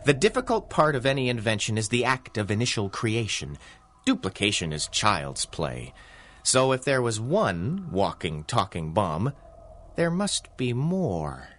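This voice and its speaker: An actor playing an arrogant, scornful criminal mastermind in a 1930s-style radio serial, with pompous, wordy, theatrical delivery. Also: male voice, on the low side, big pitch swings, measured pace, a bit bright, a little hiss or hum. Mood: scheming.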